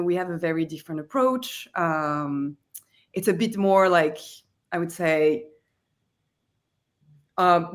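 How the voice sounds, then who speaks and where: A woman in her thirties with a French accent, a company founder speaking casually on a webinar panel with many fillers. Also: lowish voice. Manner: conversational, many fillers like "like" and "you know".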